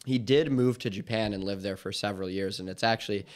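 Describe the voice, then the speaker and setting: A man co-hosting a casual podcast, telling a long anecdote in an animated way. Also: on the low side, quick pace. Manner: storytelling, animated, laughs often. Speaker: podcast co-host. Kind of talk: casual chat.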